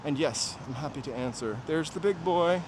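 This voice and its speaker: A man's voice: on the high side, expressive, moderate pace. Chatty New man over faint street noise. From New Hampshire, USA. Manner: rambling, chatty asides to live viewers. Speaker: walking-tour livestream host. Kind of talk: casual chat while walking. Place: outdoors on city streets, walking. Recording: outdoor street noise, passing vehicles. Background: traffic and urban ambience.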